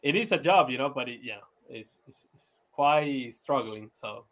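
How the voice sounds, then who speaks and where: A man's voice: medium pitch, lively intonation, measured pace, fairly bright tone. Laid-back Venezuelan-born man, chatty. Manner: laid-back, laughs often, many "you know" fillers and restarts. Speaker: podcast guest. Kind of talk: casual interview chat.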